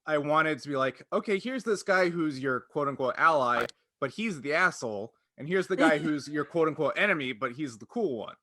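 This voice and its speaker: A man's voice: a little high, animated, moderate pace, few pauses, pushed and bright. A man hosting a podcast, explaining things casually and acting out quotes as he goes. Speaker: podcast host and game master.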